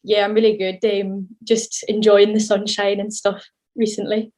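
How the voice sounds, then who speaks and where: A woman's voice: mid-range voice, little pitch movement, moderate pace, darkish tone. A young woman in her early twenties from southwest Scotland, with a Scottish accent that carries a slight Irish lilt, chatting casually and upbeat as a podcast guest. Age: early 20s. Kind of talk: casual interview chat.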